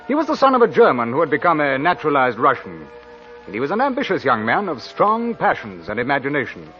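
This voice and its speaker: Chatty young officer spinning a tale, higher voice, very lively, muffled old radio. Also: male voice. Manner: theatrical storytelling. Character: genial, animated young officer telling a tale. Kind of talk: 1940s radio acting, dramatic narration. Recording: muffled vintage radio recording, music behind.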